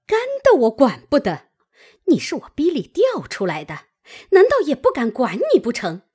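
A woman's voice: very animated, a little slow, exaggerated voice. A woman voicing a coarse, bitter servant mother in a storytelling reading, scolding harshly. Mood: furious cursing. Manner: cursing, shrill scolding. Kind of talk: dramatised classic novel storytelling.

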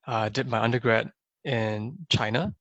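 A young man from Singapore, answering interview questions in a thoughtful, measured way and often tagging on "right?". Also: medium pitch, a bit bright, dry recording, muffled recording. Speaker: podcast guest.

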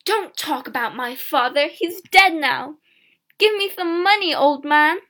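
A woman voicing an angry, scornful, demanding girl in a storybook, with a loud, sharp delivery. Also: mid-range voice, steady tone, normal speed, close to an everyday voice. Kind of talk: storybook character acting.

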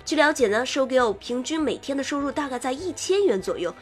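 A woman host reading scripted game news in an expressive presenter's manner, slipping in wry, playful asides. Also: high voice, fairly quick. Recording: music under the voice. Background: background music.

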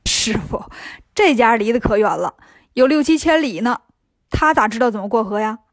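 Woman doing a cocky, quick-talking monkey king. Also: a little high, animated, dry recording, studio quality. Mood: brisk. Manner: brisk, teasing, rapid.

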